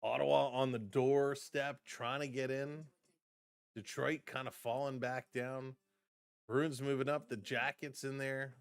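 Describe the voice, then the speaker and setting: A man calling a hockey game live for his fan stream, brisk in the action and chatty in between. His voice is mid-pitched and getting a little hoarse. The sound is close and dry, with no room around it. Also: flat delivery, no room sound. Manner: brisk play-by-play, chatty asides to viewers. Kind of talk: live play-by-play and chat reactions.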